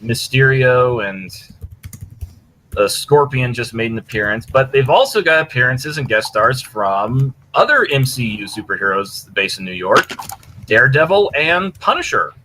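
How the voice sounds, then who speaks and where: Bright-voiced man, rambling and enthusiastic. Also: mid-range voice, sing-song, moderate pace, slight background noise, rough recording. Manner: rambling, enthusiastic, frequent swearing. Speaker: podcast co-host. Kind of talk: casual chat recommending fan fiction.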